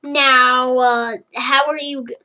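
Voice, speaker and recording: Slow, high-voiced child narrator. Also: little pitch movement, sharp tone. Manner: slow and wondering, with many hums and murmurs. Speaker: fan animator narrating. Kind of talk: playful narration of a homemade number-block animation.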